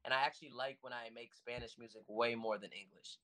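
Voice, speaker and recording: A man's voice: mid-range voice, steady tone, brisk, sharp tone. A young man from the United States, a guest musician chatting loosely on a podcast, rambling with lots of fillers and restarts. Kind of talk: casual podcast interview.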